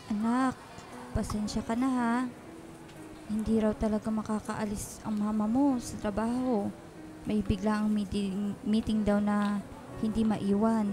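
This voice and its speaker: A woman playing a gentle, caring older nanny in a radio drama, soothing and patient. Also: medium pitch, level tone, measured pace, pauses often, faint music behind, natural voice. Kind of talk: Filipino radio drama acting.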